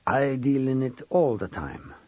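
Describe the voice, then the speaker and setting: A man voicing a gaunt, knowing Romanian woman psychic in an audiobook, speaking slowly and deliberately with relentless intent. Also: mid-range voice, animated, a little mellow, breathy edge, no echo, muffled recording. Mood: quietly menacing. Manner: slow, deliberate, unnerving.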